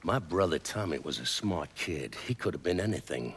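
A man's voice: low-pitched, expressive, normal speed, breathy edge. A man playing a weary, grim crime boss in a video game, with an American accent and blunt, terse, streetwise speech. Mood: bitter, weary. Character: weary, grim male crime boss, middle-aged or older. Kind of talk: dramatic video game dialogue.

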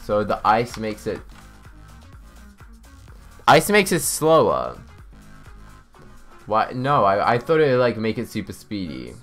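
A young man giving casual live gaming commentary, chatting, thinking out loud and reading out chat messages. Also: on the low side, expressive, normal speed, hardly any pauses, soft background music. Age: young adult. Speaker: livestreamer. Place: indoors, small home room.

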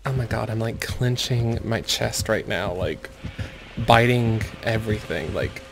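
A man commentating over gameplay in a mostly monotone voice, muttering urgings at the screen. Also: fairly low pitch, measured pace, gravelly, music in the background. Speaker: gaming video creator.

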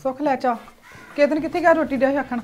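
A woman's voice: sits high, steady tone, fast, lightly acted. A woman playing a village mother who scolds and nags her sons. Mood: scolding. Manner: scolding, nagging. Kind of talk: village comedy sketch acting.

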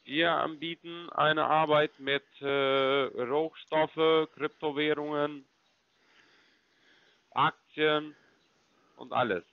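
A man cold-calling about investments, speaking in halting, broken German. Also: a little high, steady tone, clear, resonant tone, no echo, muffled recording. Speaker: call center agent. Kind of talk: sales phone call.

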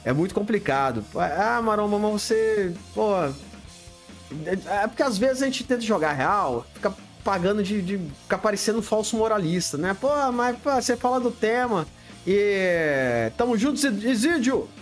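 A Brazilian man in his fifties talks straight into a desk webcam in a home studio room, blunt and plain-spoken. His pitch swings a lot as he greets chat viewers. Faint music plays in the background. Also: animated, normal speed. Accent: Brazilian Portuguese. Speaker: livestream host answering viewer questions. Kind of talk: casual live chat. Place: home studio room lit with colored LEDs.

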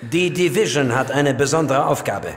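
An actor playing a formal, deliberate, secretive military colonel in an audio drama. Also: male voice, mid-range voice, close to an everyday voice. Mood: formal briefing. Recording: echoey room, long reverb.

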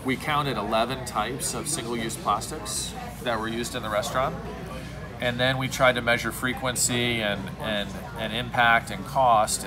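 A man in his forties, a restaurant owner, talking earnestly to a phone camera at home, gesturing as he explains. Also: mid-range voice. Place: home room indoors. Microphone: phone camera close up.

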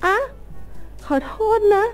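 A woman's voice: takes it slowly, faint music behind, lightly acted. A woman voicing a timid, whiny, heartbroken schoolboy in a cartoon fan drama, hesitant and pleading. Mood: anguished.

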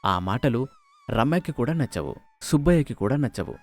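A man's voice: medium pitch, lively intonation, normal speed, darkish tone. A man narrating a scripted moral story as a storyteller, recorded close on the mic. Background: music.

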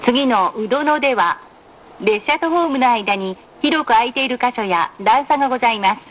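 A woman reading scripted in-car train announcements in a formal, polite, measured way with careful enunciation. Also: fairly high pitch, lively intonation. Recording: noisy train announcement recording.

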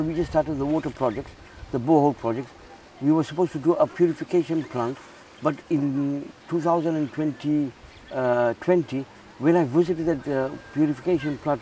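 A man's voice: on the high side, brisk, mellow, a little hiss or hum. Earnest man from Zimbabwe, heard from a distance. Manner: repeats words and restarts, earnest. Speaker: charity founder. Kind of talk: casual interview.

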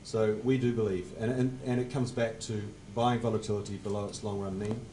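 A man in his forties answering on a conference panel at a table mic, measured and technical, his phrases fading at the ends. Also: on the low side, level tone, dark tone, a little hiss or hum, rough recording. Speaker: panelist, fund manager. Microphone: table mic on stand.

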